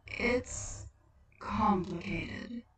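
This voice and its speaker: Woman voicing a slow, gentle, sorrowful spirit of Death. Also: mid-range voice, heavy reverb, distant mic, slight background noise. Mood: gentle, grave. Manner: soft, slow, soothing.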